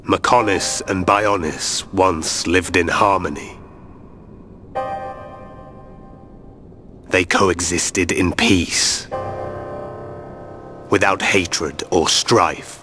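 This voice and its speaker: A man acting a solemn, bitter leader of a machine people in a video game, speaking in a formal, measured, grave manner. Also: deep voice, steady tone, over music. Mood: grave, accusing.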